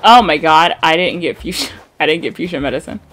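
A young woman from the American Midwest with a Midwest accent and a slight vocal fry, chatting bluntly and swearing while commenting on gameplay. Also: low-pitched, brisk, faint music behind. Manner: chatty, blunt, swears freely, reads game dialogue aloud flatly. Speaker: gaming streamer.

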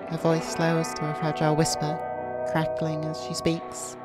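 Female narrator for a visual novel: low, measured, little pitch movement, clear music underneath. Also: monotone. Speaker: VTuber host reading a game aloud. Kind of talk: scripted first-person narration. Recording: music behind the voice.

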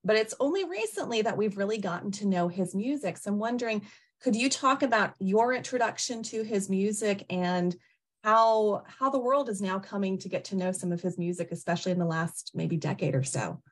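A woman's voice: medium pitch, a little fast. A woman hosting a video-call interview from a home office, enthusiastic and articulate as she introduces her questions. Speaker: host, interviewer.

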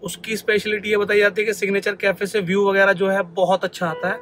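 A man's voice: high voice, level tone, quick pace, a bit bright, slight background noise. A man in his thirties, a vlogger chatting casually to his viewers on a phone camera while exploring a market and a cafe. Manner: chatty, addresses viewers as guys. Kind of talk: casual travel vlog. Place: car, then a busy street market and a cafe.

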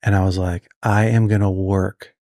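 A man's voice: deep voice, flat delivery, moderate pace, warm tone, dry recording, studio quality. A man with an American accent interviewing casually as a podcast host. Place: indoor podcast studio. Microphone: close studio microphone on a stand.

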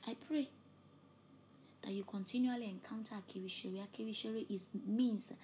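A woman with Yoruba as her preaches fervently, her mid-range voice climbing to loud emphatic peaks. She often drops to soft, breathy near-whispers. A faint hiss of noise runs under the recording. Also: medium pitch, steady tone, moderate pace, rough recording. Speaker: preacher and host. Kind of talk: preaching a sermon with an altar call.

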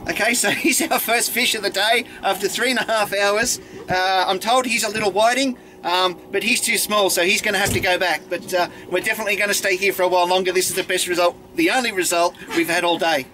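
A man's voice: high voice, steady tone, fast, few pauses, sharp tone. A middle-aged Australian man hosting a casual fishing vlog from a small boat, chatty and self-deprecating, laughing often. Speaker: vlog host. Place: small open boat on a river. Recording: noisy outdoor camera audio.

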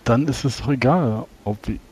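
A man's voice: medium pitch, moderate pace, a little mellow. A man playing a tabletop role-playing game, speaking in character and stumbling over repeated words. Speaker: player.

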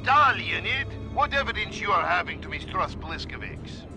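A credulous Soviet comrade in a comic video game. He has a high, animated voice, a Russian accent and broken English, under very loud music. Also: normal speed. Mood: doubtful.

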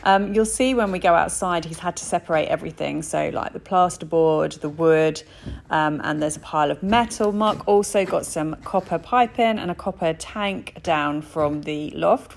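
A woman's voice: deep voice, vocal fry, slight background noise. A woman chatting casually with many "um"s while walking through a bare, empty house, filming on her phone. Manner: chatty, frequent "um" and "so". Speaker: vlogger touring a house renovation. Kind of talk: casual walkthrough narration. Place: empty stripped-out house, indoors.

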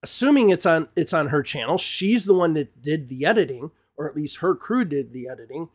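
Middle-aged male host, higher-pitched voice, emphatic ranting commentary, repeats words, close desk mic. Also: expressive, brisk. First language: English. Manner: gestures broadly with hands while talking. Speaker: English teacher and channel host. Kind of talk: opinionated commentary to camera. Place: small home studio room with bookshelves, indoors. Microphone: podcast mic on desk in front of him.

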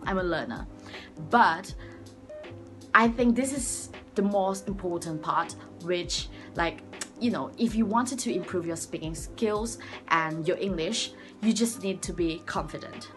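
A woman's voice: fairly low pitch, a bit bright. A young woman from Sabah with a Malaysian accent whose first language is Malay, talking to camera in an earnest, rambling way, full of restarts, in a small room. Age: young adult. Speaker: vlogger. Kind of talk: casual talk to camera. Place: small room indoors, by a door. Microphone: front-facing camera at arm's length.

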